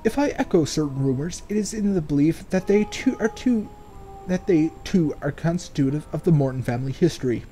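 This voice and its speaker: A man's voice: fairly high pitch, animated, fairly quick, dark tone, faint music behind. A man doing casual game commentary and reading game text aloud, sardonic and free with swearing. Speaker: let's player.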